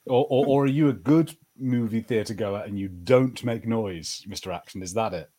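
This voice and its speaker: A man's voice: lowish voice, moderate pace, warm tone. A man co-hosting a podcast, giving his opinions in casual pop-culture banter. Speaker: podcast co-host.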